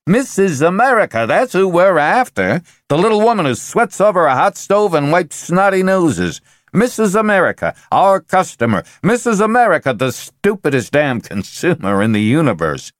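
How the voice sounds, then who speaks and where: A brash, high-pitched sales executive, pushy and loud, whose ranting keeps climbing toward a shout, as a male audiobook narrator puts him on. The recording is dry and close, with no room sound. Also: very animated, normal speed, no room sound. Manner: forceful, ranting, voice rising to shouts.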